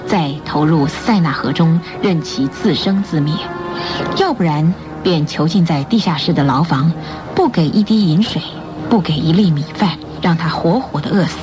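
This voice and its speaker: A woman narrating a radio drama, telling the story in a measured, expressive, scripted style. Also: low voice. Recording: muffled lo-fi radio recording. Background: music.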